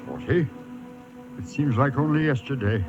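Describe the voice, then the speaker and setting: An actor playing a guilty, evasive husband in a theatrical, suspenseful 1980s radio horror drama. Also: male voice, mid-range voice, very animated, normal speed, creaky, highs cut off, music in the background. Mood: bewildered. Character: guilty, haunted husband hiding a crime, evasive and edgy.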